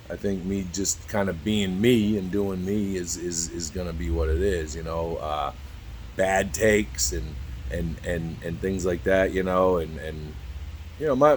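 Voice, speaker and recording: A man in his early fifties with a lowish voice talks to camera in a loose, unscripted ramble. He stumbles over his words, restarts sentences and fills every gap with "you know." His speech is blunt and full of swearing. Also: slight background noise. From Cambridge. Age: 50s. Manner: halting, repeats "you know" constantly, swears freely. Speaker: YouTube vlogger. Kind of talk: rambling candid talk to camera.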